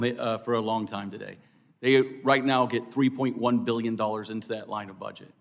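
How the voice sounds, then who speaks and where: An American man answering as an expert witness at a hearing, in a formal, deliberate and earnest way. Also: medium pitch. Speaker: expert witness for air traffic controllers. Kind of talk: formal testimony.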